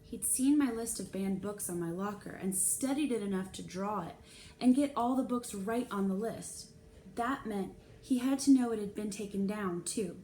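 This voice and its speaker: A woman reads a children's novel aloud as a storyteller. Her voice is medium in pitch, and there is some noise in the recording. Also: normal speed, warm tone. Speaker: storybook reader. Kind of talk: expressive read-aloud narration of a children's novel. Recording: clear background noise.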